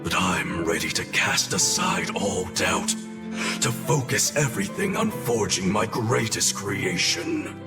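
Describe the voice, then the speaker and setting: An actor playing a solemn, driven demon swordsmith, grave and resolute, in a dramatic video game. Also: male voice, fairly low pitch, very animated, unhurried, over loud music.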